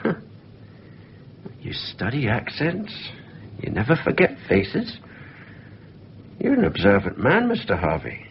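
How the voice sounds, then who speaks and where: An actor playing a polite, flustered insurance assessor with a Scottish accent, hesitant and formal in a radio drama. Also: male voice, mid-range voice, wildly swooping pitch, takes it slowly, long pauses, exaggerated voice. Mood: wary, businesslike. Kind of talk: naturalistic radio drama acting. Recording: muffled, noisy off-air radio recording.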